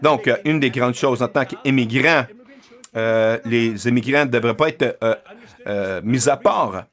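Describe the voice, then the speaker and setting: A man giving an interpreted keynote speech to parents and educators. He pauses often, with many filler sounds and restarts. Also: medium pitch, fairly bright tone. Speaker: keynote speaker.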